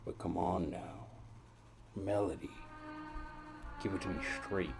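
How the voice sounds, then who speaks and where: Man acting as a deep-voiced hard-boiled noir detective. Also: steady tone, slow pace, music in the background, exaggerated voice. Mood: stern. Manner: gruff, sardonic, clipped tough-guy slang. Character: hard-boiled, world-weary male private detective. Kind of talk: old noir radio drama acting.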